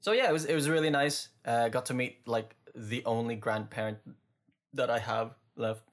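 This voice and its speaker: A man co-hosting a podcast in casual chat, relaxed and rambling, with lots of fillers and easy laughter. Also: mid-range voice, normal speed. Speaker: podcast co-host.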